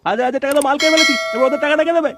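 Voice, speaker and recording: High-pitched ranting cartoon father, man acting. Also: rapid-fire, very noisy. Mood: exasperated. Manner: scolding, crude, blustering. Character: hot-tempered foul-mouthed father of a troublesome son. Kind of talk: exaggerated Bengali comedy cartoon dubbing.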